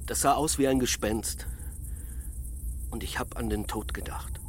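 A man plays a middle-aged husband on holiday in a radio play. He is curious and uneasy, speaking in a mid-pitched voice with hesitant, searching phrases over faint outdoor background noise. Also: unhurried, natural voice. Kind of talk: naturalistic radio drama dialogue.

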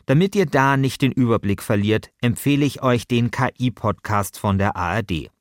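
A man's voice: medium pitch, lively intonation, moderate pace, no room sound, clean studio sound. A man, narrating a podcast from a script as its host.

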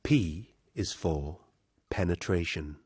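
A man reciting scripted verse in a florid, deliberate, declamatory way, as in a theatrical spoken-word piece. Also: low-pitched. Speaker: performer. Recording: loud music over the voice. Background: loud music.

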